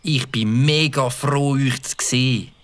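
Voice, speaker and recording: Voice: medium pitch, unhurried, lightly acted. A voice actor with a Swiss German accent, playing a nervous, evasive young boy in a children's audio drama. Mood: uneasy.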